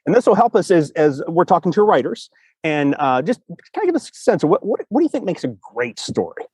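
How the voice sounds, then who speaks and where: A man's voice: on the high side, sing-song, brisk, darkish tone, slightly rough, no echo. A man co-hosting a podcast with casual, rambling enthusiasm, often repeating his phrases. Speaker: podcast co-host and storytelling teacher. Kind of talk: casual chat. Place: outdoors in a cemetery.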